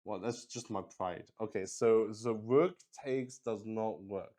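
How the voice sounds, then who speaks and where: A man hosting a solo roleplaying game, narrating casually as he improvises, halting, repeating himself and swearing mildly in an excitable way. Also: lowish voice.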